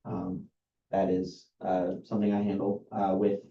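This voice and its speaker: A man, an outside accountant, reading a list of services aloud at a meeting in a dull, monotone way, with many ums and uhs. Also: low-pitched, measured pace, vocal fry. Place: meeting room. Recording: roomy, dull lo-fi meeting recording.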